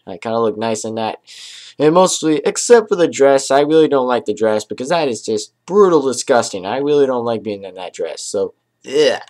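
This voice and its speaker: A man's voice: medium pitch, darkish tone. A young adult man hosting a casual reaction video, talking in a rambling, repetitive way in a small room. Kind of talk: casual reaction commentary to camera. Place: small dim bedroom, indoors.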